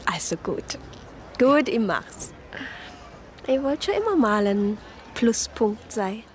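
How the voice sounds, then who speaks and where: A spirited deaconess narrates and talks in a radio play. Her voice sits fairly high and her delivery is wry. A faint ambient noise lies behind her. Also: expressive, slow pace. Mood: dryly assertive. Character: Sister Claudia, wry, spirited deaconess who tells the story. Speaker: narrator and lead character.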